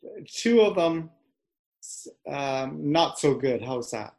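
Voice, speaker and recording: Canadian man, halting guest answers. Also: medium pitch, animated, creaky, darkish tone, low-quality recording. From western Canada. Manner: brief replies, halting restarts. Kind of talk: casual interview answers.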